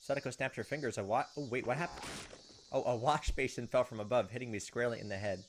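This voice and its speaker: A man's voice: mid-range voice, fast, a little hiss or hum. A man hosting a let's play, reading a visual novel aloud and commenting casually as he goes.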